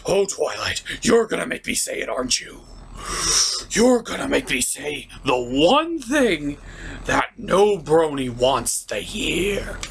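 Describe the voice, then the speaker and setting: A man hosts a review with theatrical, exasperated delivery. His pitch swings widely as he builds each complaint in opinionated commentary. Some background noise sits under the voice. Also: big pitch swings, takes it slowly, gravelly, a bit bright. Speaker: host of a cartoon review show.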